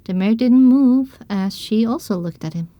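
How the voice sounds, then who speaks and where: A woman playing a pony mare who narrates her own adventure in measured, descriptive audiobook style. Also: a little high, dark tone, no room sound, dull audio, lightly acted. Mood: narrating. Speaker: first-person narrator.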